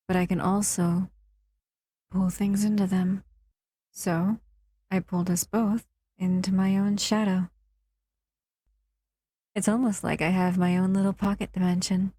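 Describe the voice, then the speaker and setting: A woman's voice: fairly low pitch, mellow, breathy edge, no echo. A woman voicing a captive female shadow monster who soothes and cuddles the listener in a close, intimate audio roleplay. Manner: soothing, intimate, often whispering.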